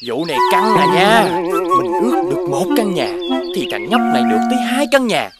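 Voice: medium pitch, big pitch swings, a little fast, close to an everyday voice. An actor voicing a greedy, scheming male ox in a Vietnamese children's cartoon, with sly, exaggerated delivery. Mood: scheming, flustered. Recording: music behind.

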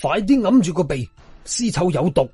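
A man doing a stern, husky Taoist ghost hunter. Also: high-pitched, very animated, moderate pace, warm tone, faint music behind, exaggerated voice. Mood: instructing. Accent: Hong Kong Cantonese. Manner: curt, authoritative.

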